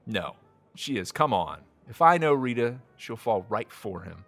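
An actor playing an anxious, self-deprecating single man in naturalistic audio drama, wry and halting. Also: mid-range voice, big pitch swings, fairly bright tone, faint music behind, heavily acted. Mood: exasperated, jealous.

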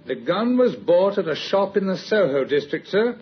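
A man's voice: high-pitched, sing-song, normal speed. A man playing a deferential, matter-of-fact police sergeant in an old radio drama, giving clipped, dutiful reports. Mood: dutiful, certain. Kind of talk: 1950s radio drama acting. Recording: muffled, noisy old radio recording.

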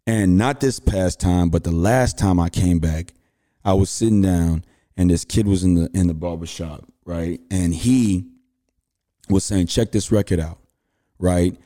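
A man's voice: low voice, unhurried, mellow. A man, hosting a podcast and telling a story casually with slang and fillers. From Houston, Texas. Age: 30s. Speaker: podcast host.

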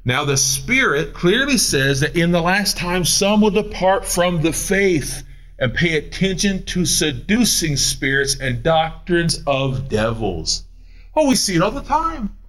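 Pastor, 40s, male: high, swooping delivery, paces the pulpit, argues with imagined doubters. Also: unhurried. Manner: animated, swooping pitch, paces while preaching; answers his own imagined questions. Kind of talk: sermon.

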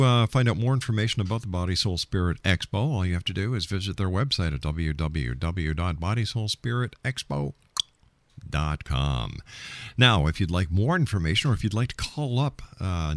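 A man's voice: deep voice, no echo. A man hosting a radio interview show and reading out announcements.

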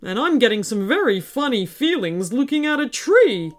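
A woman voicing a fretful, complaining male snail who talks in loud, whiny exclamations. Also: fairly high pitch, big pitch swings, moderate pace.

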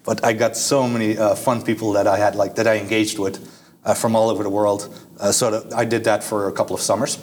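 A man's voice: on the low side, flat delivery, fast talker, slight background noise. A young Dutch man answering interview questions in a casual, hesitant way, with many fillers and restarts. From Netherlands. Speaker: guest.

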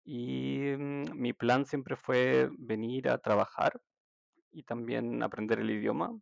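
A man with a Chilean Spanish accent, chatting as a podcast guest in a relaxed, hesitant storytelling way. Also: mid-range voice, slow pace, creaky, dry recording. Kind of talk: casual interview chat. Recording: dull, dry audio.

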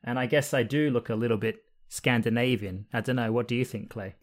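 Man, Australian accent, softened by travel, interview host, measured, friendly questions, video call. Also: mid-range voice. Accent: mixed Australian. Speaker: podcast host.

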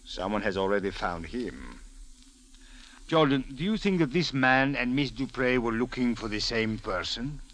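An actor playing a calm, suspicious Cairo police officer with a measured, formal manner in a 1940s radio drama. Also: male voice, mid-range voice, close to an everyday voice. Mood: probing, suspicious. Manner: measured, formal, uncontracted speech. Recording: noisy vintage radio recording.

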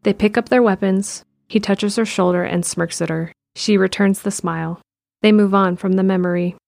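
A woman giving steady scripted narration of an audio drama in the present tense. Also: medium pitch, normal speed, creaky, dry recording.

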